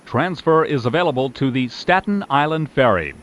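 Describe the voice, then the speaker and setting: A man reading recorded subway announcements in a slow, measured, evenly spaced way. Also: medium pitch, lively intonation, fairly bright tone. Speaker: transit announcer. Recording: slightly muffled, faint ambience.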